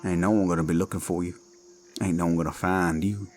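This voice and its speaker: A man voicing a menacing Cajun werewolf of the swamps, with a Cajun accent and a slow, taunting drawl. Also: deep voice, fairly even intonation, darkish tone, lightly acted. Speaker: villain in audio drama. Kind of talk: horror audio roleplay. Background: faint eerie music and crickets.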